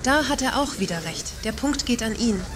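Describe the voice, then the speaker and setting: A woman's voice: a little high, expressive, moderate pace, close to an everyday voice. A woman playing a dryly ironic shopper in a satirical radio play. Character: dry ironic female shopper keeping score. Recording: clear background ambient noise.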